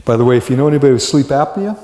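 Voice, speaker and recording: A reverberant hall carries the voice of an older American man lecturing to students. He speaks at a middling pitch, with a storyteller's delivery and plenty of rhetorical questions thrown out to the class. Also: very fast. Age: 60s or older. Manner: animated storytelling, rhetorical questions, acts out brief dialogues. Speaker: college psychology lecturer.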